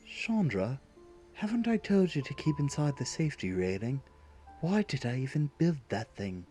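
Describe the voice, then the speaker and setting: A man's voice: mid-range voice, very animated, normal speed, warm tone, faint music behind, lightly acted. A man playing a cautious, dryly exasperated inventor father in an audio drama.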